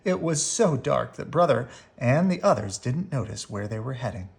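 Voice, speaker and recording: Low-voiced woman reading a children's story. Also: lively intonation, moderate pace, gravelly, a little mellow. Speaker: storybook reader. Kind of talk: expressive bedtime story narration for children.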